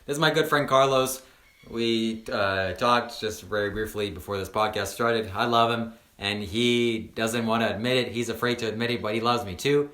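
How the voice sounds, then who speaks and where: A man's voice: on the low side, fairly even intonation, moderate pace, pushed and bright, reverberant. A man in his twenties, a spiritual teacher guesting on a podcast, talking earnestly with many fillers and repeated words. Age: 20s. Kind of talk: casual podcast chat.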